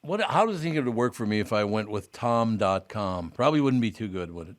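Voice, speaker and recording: A radio host with a fairly low male voice interviews a guest. He is jokey and effusive, piling on praise. His sentences ramble and restart as he talks. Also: lively intonation, quick pace.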